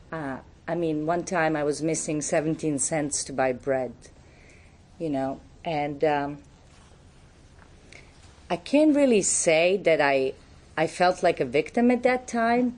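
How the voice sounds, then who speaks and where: Serbian-accented woman, very low voice, candid recovery share, slight background hiss. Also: a little mellow. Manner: candid, reflective, with frequent fillers. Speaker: guest speaker. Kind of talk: personal recovery talk.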